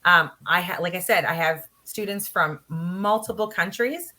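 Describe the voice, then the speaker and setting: Woman instructor, earnest conference speaker, bright and somewhat low-pitched, practical, many fillers. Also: a little slow. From Canada. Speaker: college instructor. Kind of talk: conference presentation.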